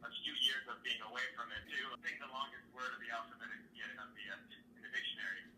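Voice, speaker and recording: Bright fast-talking stage actor. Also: male voice, medium pitch, fairly even intonation, recorded from a distance, a little hiss or hum, rough recording. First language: French. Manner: enthusiastic, quick, repeats words. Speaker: musical theatre actor, guest. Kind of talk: interview answers.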